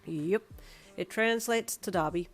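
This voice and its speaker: A woman's voice: fairly low pitch, a little slow. Lady doing a chummy irish tattoo guy from a story, cheery, colloquial, faint music behind. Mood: friendly. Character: friendly Irish man, piercer and tattoo artist.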